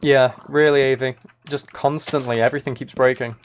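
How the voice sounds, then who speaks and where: A man's voice: medium pitch, steady tone, a little fast. A man livestreaming a game with casual commentary, muttering to himself in a mildly exasperated way. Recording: keyboard typing and clicking noise. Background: keyboard and mouse clicks.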